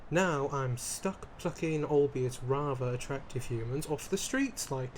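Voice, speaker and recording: A man's voice: mid-range voice, expressive, a little slow, a little hiss or hum, natural voice. A man playing a theatrical, fussy vampire aristocrat in an ASMR roleplay, with pompous old-fashioned wording, flustered stammers and dramatic emphasis. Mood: wounded, dramatic. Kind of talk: ASMR roleplay character acting, addressing the listener.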